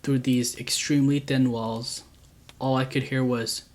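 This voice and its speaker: A man calmly reading true scary stories aloud as a channel host, with a steady, unhurried delivery. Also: mid-range voice.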